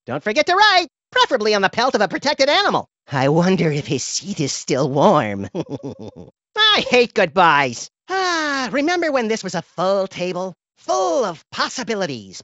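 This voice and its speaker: A man voicing a hyperactive, gleefully violent little rabbit vigilante in a cartoon video game, impish and theatrical, swinging between glee and mock-innocent deadpan. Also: high voice, a little slow, no echo, muffled recording, exaggerated voice. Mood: mock wistful.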